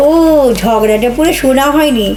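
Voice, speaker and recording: A woman playing a sharp-tongued, bickering wife in a comedy drama, scolding and mocking her husband with theatrical sarcasm. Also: fairly high pitch, moderate pace, roomy sound, a little hiss or hum, lightly acted. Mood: sarcastic, scolding. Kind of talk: Bengali audio comedy play acting.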